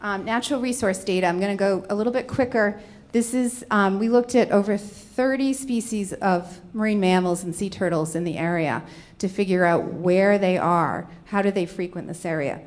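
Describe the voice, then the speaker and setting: A woman's voice: mid-range voice, moderate pace, a little mellow. Woman, middle-aged, Rhode Island accent, conference panelist, explaining slides, mid-range voice. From Rhode Island, USA. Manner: explanatory, walking through slides. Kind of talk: conference presentation.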